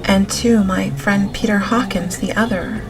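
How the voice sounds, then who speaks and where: A woman voicing a smooth, formal, sinister old male vampire count in a gothic audiobook. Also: mid-range voice, fairly even intonation, measured pace, close to an everyday voice, rough recording. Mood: smoothly menacing. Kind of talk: audiobook character reading of a gothic novel. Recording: music behind the voice.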